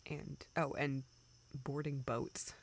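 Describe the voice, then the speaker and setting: A woman acting a tearful, self-deprecating young woman in an intimate audio roleplay, halting and rambling as she talks to a close friend. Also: deep voice, little pitch movement, creaky, a little hiss or hum, exaggerated voice. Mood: wistful, rambling. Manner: halting, rambling, soft laughs and whispered asides.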